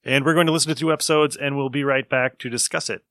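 Bright-voiced man casually hosting a podcast. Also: mid-range voice, fairly even intonation, quick pace, no echo, clean studio sound. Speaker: podcast host.

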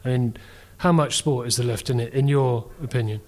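A man's voice: mid-range voice, fairly quick, darkish tone. A man hosting a casual panel interview in a polite, slightly hesitant manner. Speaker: host.

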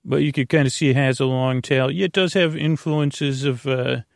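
Creaky-voiced man rambling through a bedtime monologue. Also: mid-range voice, steady tone, no echo, clean studio sound. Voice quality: creaky, gravelly. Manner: meandering, halting, often trailing off and circling back. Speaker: podcast host. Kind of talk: rambling bedtime monologue to help listeners sleep.

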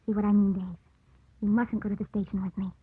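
An actress playing a gentle, self-sacrificing young woman in love in a 1950s radio drama. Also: mid-range voice, level tone, fast, slightly rough, phone-quality sound. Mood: resigned, tender. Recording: thin, band-limited vintage radio recording.

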